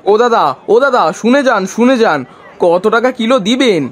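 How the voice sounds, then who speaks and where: A man's voice: extremely high pitch, moderate pace, a faint crowd. A man playing a luckless, lamenting village vegetable seller and husband in a cartoon. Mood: pleading. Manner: lamenting, pleading.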